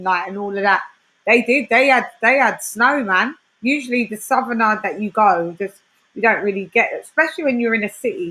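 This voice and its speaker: Bright-voiced London woman chatting. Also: a little high, low-quality recording. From West London. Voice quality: chatty, reading out comments. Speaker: livestream host. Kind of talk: casual chat.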